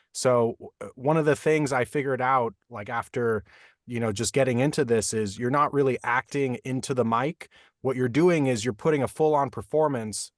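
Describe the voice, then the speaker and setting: Relaxed male voice actor, dry booth sound. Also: medium pitch, no room sound. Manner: thoughtful, frequent fillers like "like" and "you know". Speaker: voice actor guest. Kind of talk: casual interview answers. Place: home recording booth.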